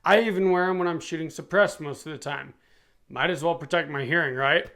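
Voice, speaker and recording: A man's voice: a little high, a little fast, fairly bright tone. A middle-aged man reviewing gear for his channel in a casual, chatty way. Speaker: product reviewer and YouTube channel host.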